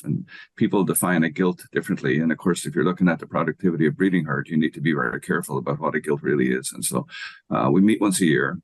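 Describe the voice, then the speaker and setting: A man in his sixties with an Irish accent, giving measured, patient expert answers as an interview guest. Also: rumbling low, breathy edge. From Ireland. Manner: measured, thoughtful, patient explaining. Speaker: guest expert, economics professor.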